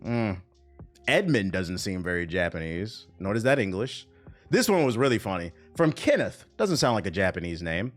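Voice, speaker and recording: Animated joking male streamer. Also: fairly low pitch, normal speed. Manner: loose, joking, animated, trailing into mutters. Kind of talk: livestream reaction commentary. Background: faint background music.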